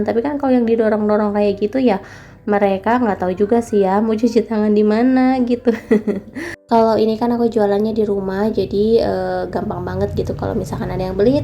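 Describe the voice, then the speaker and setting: A woman's voice: medium pitch, gravelly, warm tone. A young woman with a nasal, stuffy voice from a cold, chatting casually in a vlog voice-over recorded at home. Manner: chatty, addresses viewers often as friends. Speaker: vlogger selling meatballs from home. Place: home, recorded at night after the day's filming. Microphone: voice-over dubbed separately.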